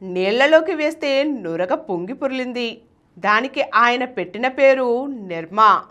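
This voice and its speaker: A woman hosts and reads a scripted story. Her voice sits high and swings widely in pitch. She stresses key words with an expressive storyteller's emphasis. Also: a little slow, highs cut off. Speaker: host and reader of a business success story show.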